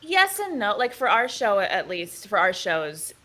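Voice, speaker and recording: A woman's voice: mid-range voice, big pitch swings, unhurried, sharp tone. A woman who runs an immersive theater company, chatting casually and teasing, often breaking into laughter. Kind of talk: casual podcast chat.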